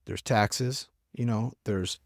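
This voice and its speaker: A man's voice: fairly low pitch, steady tone, slow pace, gravelly, no echo, clean studio sound. A man hosting a casual podcast, rambling off on tangents and filling his talk with "like" and "you know". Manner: rambling, tangents, filler-heavy. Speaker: podcast host.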